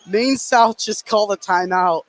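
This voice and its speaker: Higher-voiced male color commentator, noisy gym. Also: measured pace. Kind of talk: live sports analysis. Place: indoor basketball gym. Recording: noisy live gym broadcast.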